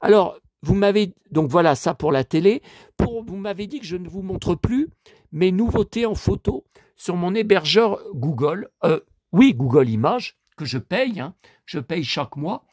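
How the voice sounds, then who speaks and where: A man's voice: sits high, measured pace, no echo. Rambling middle-aged man in his fifties. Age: 50s. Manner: rambling, many fillers, addresses viewers directly; indignant and animated when riled. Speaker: collector vlogger. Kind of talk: casual screen-share vlog.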